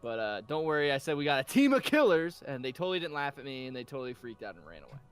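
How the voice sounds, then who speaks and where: A young man playing a tabletop game, telling things in an animated, playful way. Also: medium pitch, fast, clean tone, faint music behind. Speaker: player. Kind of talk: casual tabletop game banter.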